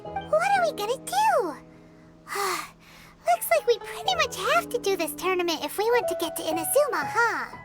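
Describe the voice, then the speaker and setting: A tiny childlike game companion, with a very high, squeaky voice. She is outspoken and pouty, and her pitch bounces around in a lively way. Loud music plays behind her. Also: moderate pace. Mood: hesitant. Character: tiny childlike floating female companion, chirpy and blunt. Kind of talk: video game voice acting.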